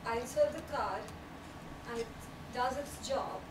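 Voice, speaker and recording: A woman's voice: a little high, a little slow. A young woman giving an earnest, explanatory talk on technical writing at a small meetup, recorded by a far camera in a small room. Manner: earnest, explanatory, laughs lightly at times. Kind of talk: presentation talk. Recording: echoey room, voice from afar, loud background noise.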